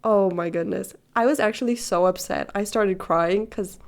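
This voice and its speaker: A young woman of nineteen from Sweden, raised in Hong Kong, chats in a loose, rambling way over a game build. She drifts into side stories and speaks directly to whoever is watching, in a mid-pitched voice. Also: normal speed. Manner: rambling, storytelling, talks to viewers directly. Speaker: gaming video creator. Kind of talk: casual chatty voice-over while building.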